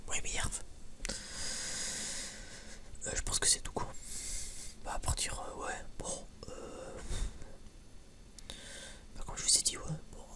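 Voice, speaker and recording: Soft whisper, breathy and unvoiced, ASMR chat, informal, hesitant, rambling. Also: takes it slowly, pauses often. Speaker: ASMR video creator.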